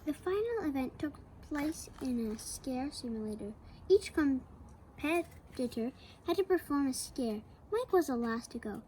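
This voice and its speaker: A woman reading a children's picture book aloud, slowly and with playful asides to the young listener. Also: high-pitched, mellow, slight background noise, rough recording. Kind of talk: read-aloud storytelling for kids.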